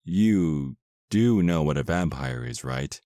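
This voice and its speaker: A man's voice: very deep voice, animated, slow, warm tone, no room sound, studio quality, exaggerated voice. A man playing an exasperated, sarcastic vampire husband, centuries old but youthful, in a comedic audio roleplay. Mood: smug, condescending. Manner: sarcastic, complaining.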